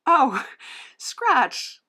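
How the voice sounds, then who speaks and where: A woman's voice: high-pitched, sing-song, extremely slow, bright, forceful tone. A woman hosting a casual comedic interview podcast, speaking in a hesitant, slightly awkward way.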